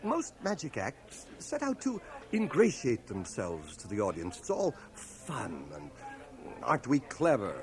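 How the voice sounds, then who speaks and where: A middle-aged schoolmaster, proud, stubborn and courtly. He speaks in precise, formal phrases with lively swings of pitch, in the manner of radio drama. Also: unhurried. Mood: earnest admiration. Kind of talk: 1980 radio drama acting. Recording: home cassette transfer of radio drama.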